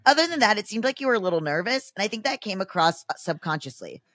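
A woman's voice: medium pitch, big pitch swings, fast, a bit bright, no echo, clean studio sound. A woman reading aloud as a self-important man texting blunt dating feedback, in an earnest, condescending dramatic reading for a comedy podcast.

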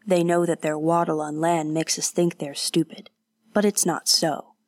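A woman voicing an awkward, earnest young male warrior who speaks haltingly and often drops to a whisper. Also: low-pitched, flat delivery, a little mellow, no echo, studio quality.

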